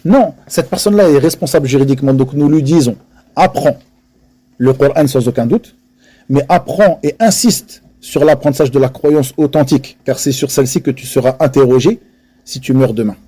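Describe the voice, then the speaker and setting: A man's voice: mid-range voice, normal speed, dark tone, slightly rough. A man teaching a religious lesson to a live audience in French, earnest and emphatic, repeating key phrases and dropping Arabic religious terms into his speech.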